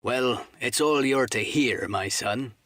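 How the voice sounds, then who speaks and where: A man voicing a cunning, commanding old pirate sea cook who drawls threats in rough seafaring dialect, as in an audiobook. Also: mid-range voice, takes it slowly, fairly bright tone, no room sound. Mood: grim, impatient. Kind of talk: dramatised audiobook reading.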